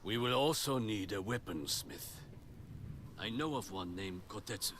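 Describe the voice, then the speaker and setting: An actor playing a seasoned spear master in a video game, a stern yet encouraging teacher with a measured, commanding, instructive manner. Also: male voice, on the low side, lively intonation, a bit bright. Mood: earnest. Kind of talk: video game character acting. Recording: clear noise of game ambience.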